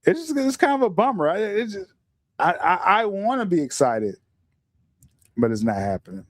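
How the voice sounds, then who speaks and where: A middle-aged man hosting a casual podcast livestream, talking in an animated way, stumbling over repeated words and often laughing. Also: high-pitched, moderate pace, no room sound, studio quality. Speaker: podcast host.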